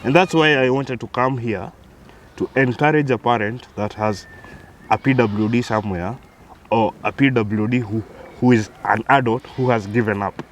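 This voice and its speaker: A man's voice: mid-range voice, moderate pace, slight background noise. A man in his thirties with a Kenyan accent, a guest telling his life story, who hesitates and repeats words as he starts. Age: 30s. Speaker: interview guest.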